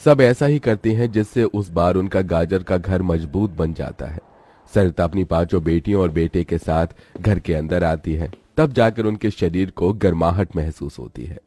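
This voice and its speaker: A man's voice: low voice, normal speed, mellow, no echo. A man reading scripted storybook narration for a children's cartoon.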